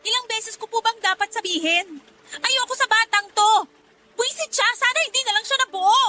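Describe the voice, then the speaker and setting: Very high-voiced, defiant young daughter. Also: female voice, moderate pace, pushed and bright. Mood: defiant, resentful. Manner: pleading, argumentative. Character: pregnant young daughter, defiant, distressed, resentful. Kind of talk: Tagalog radio drama acting.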